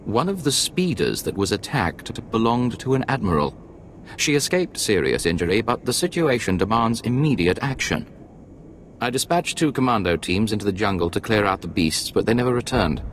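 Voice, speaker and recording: A man's voice: lowish voice, very animated, brisk, a little hiss or hum. An actor playing a businesslike male commander in a video game, giving an urgent, formal military briefing. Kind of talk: video game cutscene acting.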